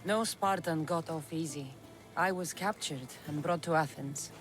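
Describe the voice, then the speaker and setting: A woman's voice: sits low, unhurried, soft background music. A woman playing a tough, determined Greek mercenary in dramatic video game dialogue, firm and resolute. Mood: calm, serious.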